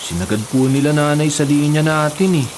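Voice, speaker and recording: A man's voice: a little high, a little fast, lightly acted. A man playing a patient, put-upon younger brother in a Filipino radio drama, with a Filipino accent and a mild, respectful, grumbling way of talking. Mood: resigned, explaining. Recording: noisy bed of construction and rain effects.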